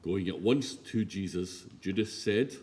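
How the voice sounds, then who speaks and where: Guy narrating scripture real slow and solemn, lowish voice, tons of church echo, bit of hiss. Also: a little mellow. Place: large reverberant church. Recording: very echoey church, faint noise.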